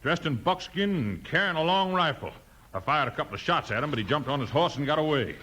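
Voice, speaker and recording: A man's voice: on the high side, animated, quick pace. A man acting a desperate, defiant rancher villain in a 1950s radio western, blustering in a hard American voice. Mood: insistent. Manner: blustering, forceful. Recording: vintage 1950s radio recording.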